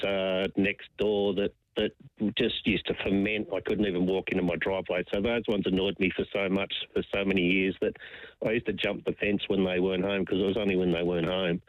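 A low-voiced man calling in to talkback radio, rambling and emphatic with plenty of restarts. His voice comes through a dull, lo-fi phone line with a dry sound. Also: dry recording. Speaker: radio caller. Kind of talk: casual phone-in chat.

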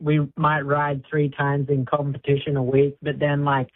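A man in his twenties with an Australian accent, a guest talking in a relaxed, modest way with many fillers over the phone. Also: fairly high pitch, normal speed, dry recording. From Queensland, Australia. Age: 20s. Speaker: guest bull rider. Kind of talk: phone interview on radio. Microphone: phone line. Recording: tinny phone line.

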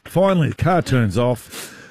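A man's voice: sing-song, measured pace, a little mellow, a little hiss or hum. A man, a radio co-host telling a prank story and laughing through it. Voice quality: deep voice. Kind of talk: storytelling banter.

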